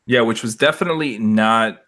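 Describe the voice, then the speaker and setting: A man co-hosting a podcast in casual discussion, talking haltingly as he feels out each point. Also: on the low side, a bit bright. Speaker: podcast co-host.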